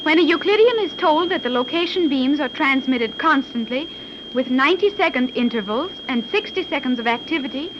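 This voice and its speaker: A woman playing a calm, precise young daughter in a 1930s radio serial, speaking in formal, exact phrases. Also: sits high, a little fast. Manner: formal, stilted, exact phrasing without contractions. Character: calm, precise young daughter with advanced scientific know-how. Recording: muffled, hissy 1930s radio recording.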